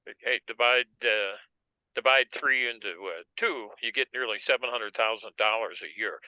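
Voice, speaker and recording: An American man explaining things informally on a group call, halting and a little wry. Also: fairly low pitch, smooth, no echo. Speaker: meeting participant. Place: online video or teleconference. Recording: dull, lo-fi conference call audio.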